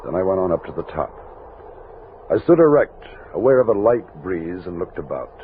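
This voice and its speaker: Casting: commanding expedition captain, Western radio drama; deep, lively-pitched, measured storyteller, tinny hissy vintage recording. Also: male voice. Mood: measured, reflective. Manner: measured storytelling narration, firm orders. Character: steady commanding army captain leading a frontier expedition. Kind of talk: 1960s Western radio drama acting and narration. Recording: thin lo-fi old radio recording, hiss.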